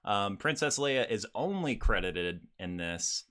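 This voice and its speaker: A man's voice: on the low side, lively intonation, unhurried, fairly bright tone. A man hosting a casual podcast, talking in wry, rambling asides.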